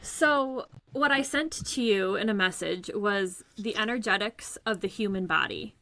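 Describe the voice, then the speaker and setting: Gravelly, bright-voiced woman guest. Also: mid-range voice, unhurried, poor audio. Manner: earnest, searching for words, with filler "um" and "like". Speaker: guest, energy healer. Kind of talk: casual explanatory talk. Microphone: remote call.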